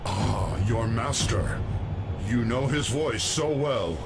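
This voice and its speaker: An actor playing a cruel, proud tyrant emperor who speaks in a slow, commanding, contemptuous way. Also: male voice, medium pitch, lively intonation. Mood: menacing. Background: loud music.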